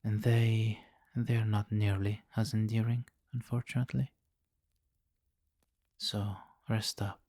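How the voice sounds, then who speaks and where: A man playing a possessive, teasing vampire king in an intimate ASMR roleplay, commanding and breathy, often slipping into whispers on a close mic. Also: fairly low pitch, little pitch movement, slow pace, mellow, no room sound. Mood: tender, possessive. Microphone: close microphone, dry.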